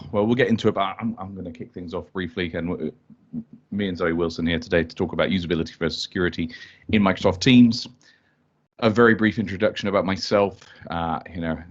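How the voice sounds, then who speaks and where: A man introducing himself as a technical architect at an online meetup, casual and hesitant with repeated words. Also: low-pitched. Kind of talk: online user group presentation. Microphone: video call. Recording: dull lo-fi video call audio.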